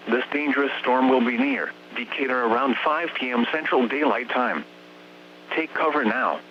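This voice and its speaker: Muffled synthetic American male weather-radio announcer. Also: medium pitch. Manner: formal, measured synthetic delivery. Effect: synthetic text-to-speech voice. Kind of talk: automated scripted weather bulletin. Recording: muffled, noisy radio broadcast with hum.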